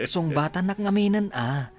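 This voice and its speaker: A man's voice: fairly high pitch, animated, unhurried. An actor playing a cheerful young man in an Ilocano radio drama. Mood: teasing, elated.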